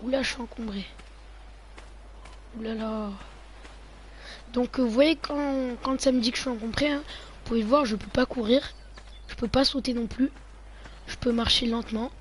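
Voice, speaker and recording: A man's voice: extremely high pitch, steady tone, normal speed, long pauses. A male gamer talking viewers through his gameplay, often muttering half to himself between remarks. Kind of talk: let's play commentary. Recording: noisy home recording.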